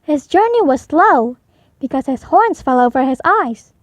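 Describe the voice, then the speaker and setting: A woman reading a children's picture book aloud as an expressive storyteller. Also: high voice, unhurried, no echo.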